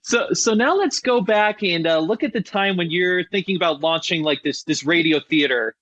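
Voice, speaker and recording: Higher-pitched man hosting, dry dull audio. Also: moderate pace, fairly bright tone. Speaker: podcast host. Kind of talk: casual conversational interviewing.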